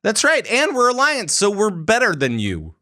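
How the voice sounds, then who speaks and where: A man's voice: high-pitched, huge pitch swings, moderate pace, sharp tone, dry recording. Dry-sounding rambling male podcast host. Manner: rambling, many fillers and restarts. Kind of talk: casual gaming chat.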